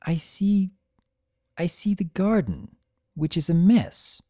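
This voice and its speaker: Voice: medium pitch, big pitch swings, slow, dark tone, no echo, clean studio sound, pitched above the performer's own voice. A performer voicing a stubborn, skeptical young mare pony in a fan fiction reading, insistent and protesting. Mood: grudging, puzzled.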